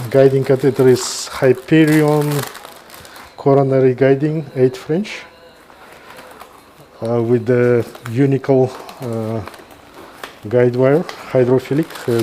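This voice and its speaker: A middle-aged man with a Kazakh accent gives informal live commentary as a surgeon, halting and pausing mid-sentence. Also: medium pitch, warm tone, a little hiss or hum. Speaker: neurosurgeon presenting a live case.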